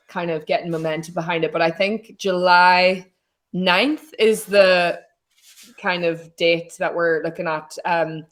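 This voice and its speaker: Young irish woman, low voice, chatty, rambling storyteller, on a call. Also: fairly bright tone. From Donegal, Ireland. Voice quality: naturally deep voice. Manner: chatty, rambling, self-deprecating. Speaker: singer-songwriter guest. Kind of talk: casual chat.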